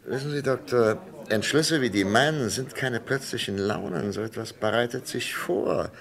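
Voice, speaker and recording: A proud chatty author in a radio drama, gravelly low voice, very animated delivery. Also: male voice, normal speed. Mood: reflective. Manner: expansive, chuckling, hesitating theatrically. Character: jovial, proud male writer in exile, hosting guests. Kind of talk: German crime radio play acting.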